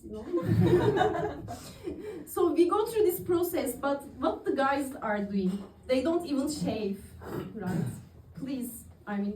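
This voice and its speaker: A woman's voice: high voice, a little mellow, far from the mic, a little hiss or hum. A woman in her thirties from Turkey doing open-mic stand-up comedy, chatty and laughing at her own jokes. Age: 30s. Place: comedy club stage, audience in the room. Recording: echoey room recorded from afar.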